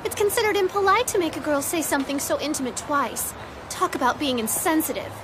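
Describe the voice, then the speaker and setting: A coquettish young anime girl with a dark streak. Her voice is extremely high, with teasing, dramatic, drawn-out phrasing typical of a dub. Prominent music runs underneath. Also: animated. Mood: coy, teasing.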